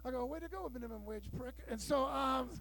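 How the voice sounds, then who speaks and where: A man in his fifties with a very high voice works through a crude stand-up set. He tells his stories loudly and acts out every exchange himself, salty language and all. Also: a little fast. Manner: loud, bawdy storytelling with acted-out dialogue. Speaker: stand-up comedian. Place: live show room with audience.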